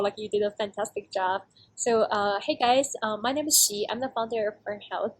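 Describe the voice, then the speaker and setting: A woman's voice: medium pitch, breathy edge, rough recording. A young woman from China, a startup founder speaking English as a second language, talks casually as an interview guest and fills her speech with "like" and "uh". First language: Chinese. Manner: casual, many fillers like "like" and "uh".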